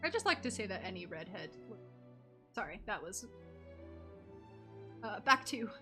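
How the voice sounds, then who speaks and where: A woman's voice: mid-range voice, very animated, measured pace, bright, forceful tone. A playful, reactive woman streaming a game with casual commentary. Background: game music.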